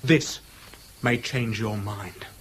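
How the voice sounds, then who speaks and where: A man's voice: lowish voice, slow pace, a bit bright, slight background noise. An actor playing a vengeful, menacing British man in a radio drama, telling things in clipped, sardonic phrases. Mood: cold menace. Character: vengeful, taunting man bent on avenging his father. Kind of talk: BBC radio drama acting.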